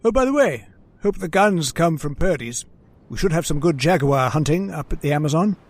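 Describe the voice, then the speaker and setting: A man's voice: fairly high pitch, lively intonation, normal speed. A man playing a genial, philosophical hunting companion with a superstitious unease, in old-time radio drama style. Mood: musing.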